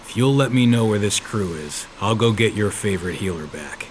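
A man playing a big, tough American ex-convict private investigator in a hardboiled period audio drama, calm, understated and deadpan. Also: fairly low pitch, normal speed, a little hiss or hum, natural voice. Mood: negotiating.

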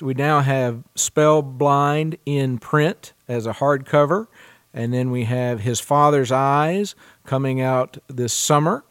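A man's voice: mid-range voice, clear, resonant tone, dry recording, clean studio sound. A man hosting a book podcast, moving between interview chat and scripted announcements. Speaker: podcast host, publisher.

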